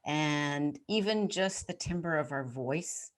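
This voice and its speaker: A middle-aged woman with a low voice for a woman, answering interview questions as a communication coach in an articulate, confident way. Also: slow. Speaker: podcast guest, communication coach.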